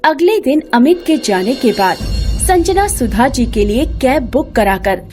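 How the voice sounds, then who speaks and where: A woman's voice: high-pitched, lively intonation, a little slow, darkish tone, faint music behind. A woman storyteller giving scripted narration of a family moral story.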